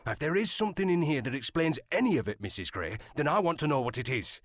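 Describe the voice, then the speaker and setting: A man playing a gruff, loyal servant of a country house in a period audio drama, deferential yet blunt. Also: on the high side, sing-song, fast talker, dry recording. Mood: resolute.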